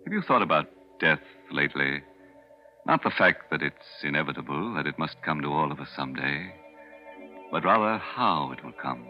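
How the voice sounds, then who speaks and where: An actor playing a ghoulish, sardonic horror show host in 1940s radio style, tongue-in-cheek and theatrical. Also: male voice, a bass voice, animated, moderate pace. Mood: ominous. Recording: muffled 1940s radio recording with organ music.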